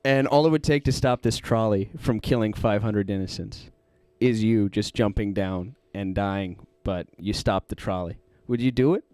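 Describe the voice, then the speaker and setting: A man's voice: lowish voice, normal speed, hardly any pauses, a little mellow, clear, resonant tone, no room sound. A man co-hosting a casual talk podcast, telling rambling stories and teasing as he goes. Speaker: podcast co-host. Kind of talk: casual banter and storytelling.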